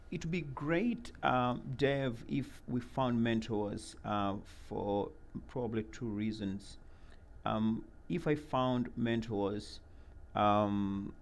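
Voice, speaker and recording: A middle-aged man, from Uganda, answering interview questions about a mentoring program in an earnest, measured way, with many fillers and repeated words. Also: medium pitch, vocal fry, slight background noise.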